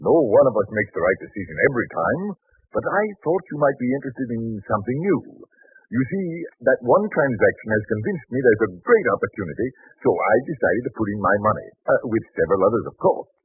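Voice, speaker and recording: Eager merchant, stammery and ingratiating, lively pitch, thin 1940s radio sound. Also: male voice, quick pace, slightly rough, no room sound, narrow, tinny audio. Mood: eager, persuasive. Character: eager, affable merchant investor in biblical times. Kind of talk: 1940s radio drama acting.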